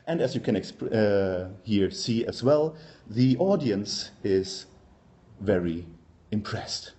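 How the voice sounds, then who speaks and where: A man's voice: on the low side, animated, slow, mellow. A middle-aged man with a German accent giving an academic workshop presentation, hesitant and full of ums and uhs. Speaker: university lecturer.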